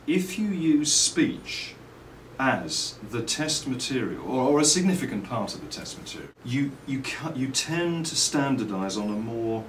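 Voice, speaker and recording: A middle-aged man with an English accent, giving reflective, articulate interview answers in measured phrases and telling anecdotes as a company owner and designer. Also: medium pitch, expressive. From England. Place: indoors at a trade show. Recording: roomy, distant mic, faint background noise.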